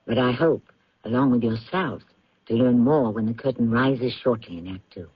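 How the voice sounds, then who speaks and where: A man hosting and narrating a scripted radio mystery in a measured, literate, theatrical manner. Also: medium pitch, slight background noise. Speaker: host and narrator. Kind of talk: scripted radio drama introduction. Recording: dull lo-fi radio recording.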